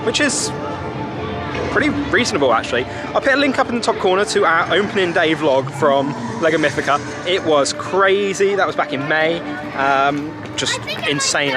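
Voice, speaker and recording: A young British man chatting casually and informally to viewers as a travel vlogger, recorded outdoors on a handheld camera. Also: a little high. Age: young adult. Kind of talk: casual vlog chat. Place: outdoors in a theme park. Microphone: handheld camera at arm's length. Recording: noisy busy outdoor crowd ambience. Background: crowd and outdoor park noise.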